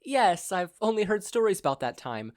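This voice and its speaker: Audio story role, male reader: captive mother, courteous but unimpressed, raised pitch, dry close sound. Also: big pitch swings, moderate pace, no room sound. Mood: incredulous. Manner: courteous, questioning, dryly unimpressed. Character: polite, skeptical kidnapped mother, unimpressed and inquisitive.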